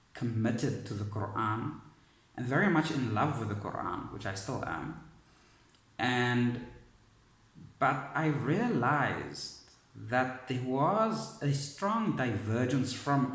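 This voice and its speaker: A man telling a personal story in a thoughtful, searching way, often pausing and restarting his sentences. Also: medium pitch, fairly bright tone, reverberant. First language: not Arabic. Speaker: vlogger sharing personal story. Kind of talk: reflective personal monologue.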